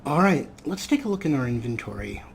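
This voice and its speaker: A man's voice: mid-range voice, sing-song, a little fast, gravelly, a little hiss or hum. A man giving casual let's play commentary in a chatty way, with playfully formal turns of phrase. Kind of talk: casual let's play commentary with some read-out game text.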